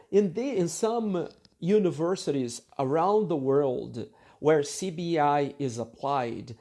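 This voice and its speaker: A man giving a lecture, reading from his article and commenting on it, speaking slowly and deliberately with frequent fillers and checks like "okay?". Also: fairly high pitch, warm tone. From Brazil. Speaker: university English teacher.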